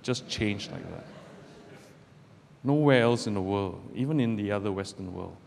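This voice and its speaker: Middle-aged man, deliberate speech with pauses, lowish voice, long hall reverb. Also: vocal fry, darkish tone. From Malaysia. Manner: measured, deliberate delivery with pauses. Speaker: barrister giving a guest talk. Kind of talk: public speech to students. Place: lecture hall, speaking from a podium. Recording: roomy hall with long reverb, faint background noise.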